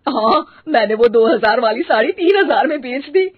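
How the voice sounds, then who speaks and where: Woman playing husky, high-voiced older mother-in-law. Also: expressive, moderate pace, dry recording, narrow, tinny audio. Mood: pleased. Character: older mother-in-law, enthusiastic, money-minded, homely.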